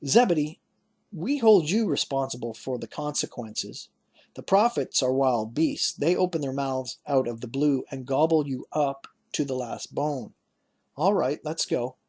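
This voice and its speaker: A man voicing burly young fishermen, half joking and half afraid, with a husky voice. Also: mid-range voice, expressive, measured pace, darkish tone. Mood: uneasy.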